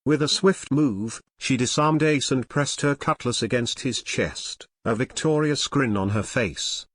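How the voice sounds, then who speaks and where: A man's voice: medium pitch, unhurried, slightly rough, no room sound. A man narrating an audio drama in a scripted, dramatic storytelling style.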